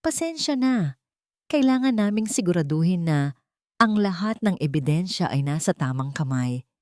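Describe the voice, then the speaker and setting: A woman voicing a gently spoken, threatening male villain in an audio drama. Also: fairly low pitch, sing-song, normal speed, dry recording, studio quality. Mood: soft menace. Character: menacing male villain, softly threatening, coldly in command.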